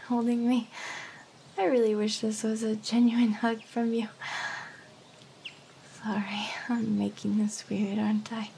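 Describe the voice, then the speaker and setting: A woman voicing a young, clingy, lovesick harpy girl in an anime ASMR roleplay, with a breathy, often whispery voice and a hesitant, earnest delivery. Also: on the high side, darkish tone, a little hiss or hum. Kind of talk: anime ASMR roleplay acting, intimate address to listener.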